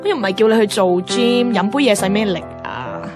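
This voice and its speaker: A young Hong Kong Cantonese woman, the blunt best friend who nags and teases. Her medium-pitched voice is brisk and theatrical, in radio drama style, with music playing clearly behind her. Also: very animated. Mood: teasing. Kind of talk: radio drama acting and narration.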